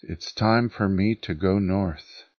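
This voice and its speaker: A man voicing a reserved, middle-aged lover with quiet resignation in an audiobook drama. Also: deep voice, takes it slowly, no room sound, lo-fi sound. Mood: resigned. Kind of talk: audiobook character dialogue.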